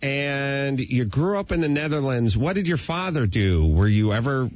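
A man hosting a comedy radio talk show, jokey and animated, interviewing a guest. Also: medium pitch, normal speed, dry recording. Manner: animated, jokey, does silly voices in bits. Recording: dull lo-fi radio broadcast.

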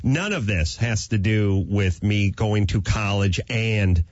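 A middle-aged man hosting a podcast, telling his own story in an animated, self-deprecating way. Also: fairly low pitch, takes it slowly, no echo. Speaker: podcast host, comedian. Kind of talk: casual podcast chat. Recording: slightly dull, dry podcast audio.

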